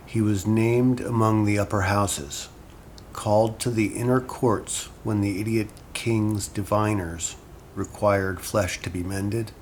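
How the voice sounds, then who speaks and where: An actor playing a classics lecturer in an audio drama, talking and reading in a measured, unshowy way. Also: male voice, on the low side, a little hiss or hum, natural voice. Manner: casual and halting when talking, solemn when reading. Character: hesitant male classics lecturer reading an ancient epic aloud. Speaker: university lecturer.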